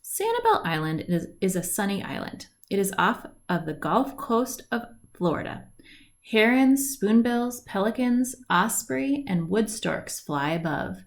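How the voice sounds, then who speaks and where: Woman reading a storybook with lively intonation. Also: mid-range voice, measured pace. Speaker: reader of a children's picture book. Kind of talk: read-aloud storybook narration. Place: indoors at home, at a wooden table by a window.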